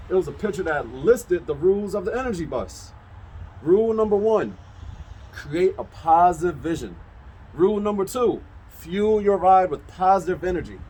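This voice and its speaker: A man reading a children's story aloud as a teacher would, with expressive, emphatic delivery. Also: sits high, slightly rough, low-quality recording.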